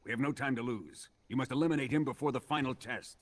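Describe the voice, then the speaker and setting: A man's voice: mid-range voice, quick pace, close to an everyday voice. A male actor playing a menacing, commanding Soviet military officer in a video game scene. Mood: stern, urgent. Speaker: villain in a game cutscene. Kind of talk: dramatic video game voice acting.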